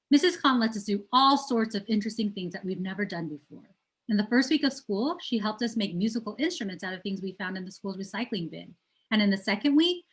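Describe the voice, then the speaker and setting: A woman's voice: medium pitch, a bit bright, poor audio. A woman reads a children's novel aloud as a storyteller, putting emphasis on key words. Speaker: teacher reading aloud.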